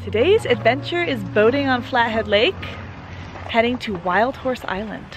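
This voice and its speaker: A woman's voice: fairly high pitch, normal speed. A woman in her thirties, chatty and upbeat, filming herself at arm's length on an outdoor trail. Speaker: vlogger. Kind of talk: casual travel vlog narration. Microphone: camera held at arm's length. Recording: music playing underneath.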